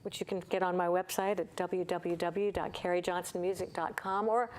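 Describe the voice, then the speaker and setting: A woman's voice: fairly low pitch, little pitch movement, normal speed. A woman in her fifties, a singer-songwriter and vocal coach, talking candidly and chattily as a guest in a casual interview.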